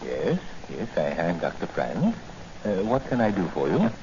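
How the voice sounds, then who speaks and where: An actor playing a kindly, excitable old scientist in 1940s radio style, muttering and repeating himself. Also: male voice, sits low, moderate pace. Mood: absorbed, obliging. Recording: muffled noisy 1940 radio recording.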